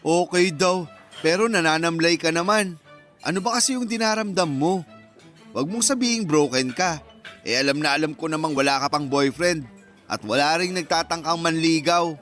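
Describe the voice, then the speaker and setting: An actor playing a bossy, meddling close friend in a radio drama, with a teasing, exclamatory manner. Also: male voice, a little high, normal speed, a bit bright, soft background music, close to an everyday voice. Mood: teasing concern.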